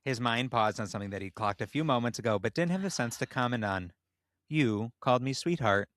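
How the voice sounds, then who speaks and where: A man's voice: medium pitch, lively intonation, quick pace, fairly bright tone, no room sound, clean studio sound. A man reading a story aloud as a podcast host, playful and dramatic, breaking off into casual asides.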